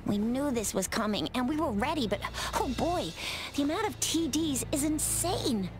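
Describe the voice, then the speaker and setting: An actress playing a friendly, capable young city guard in a video game, chatty and upbeat. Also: female voice, sits high, expressive, moderate pace. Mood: relieved, brisk. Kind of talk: video game dialogue acting.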